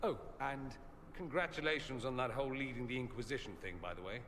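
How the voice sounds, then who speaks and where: An actor voicing a witty, self-assured fantasy mage in a video game, with a theatrical, sardonic manner. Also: male voice, mid-range voice, expressive, fast, fairly bright tone, long reverb tail, a little hiss or hum, close to an everyday voice. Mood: dry, flippant. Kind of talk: video game character acting.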